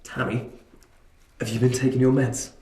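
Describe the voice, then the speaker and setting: A man acting a frightened young captive in a drama, stammering and pleading, bursting into desperate shouts. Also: medium pitch, little pitch movement, normal speed, natural voice. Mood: wary. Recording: echoey room with background noise.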